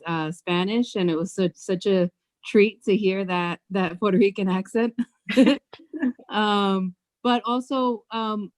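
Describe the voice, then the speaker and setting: A woman's voice: medium pitch, dry recording. A woman in the audience asking the author a question on a video call, hesitant and full of fillers.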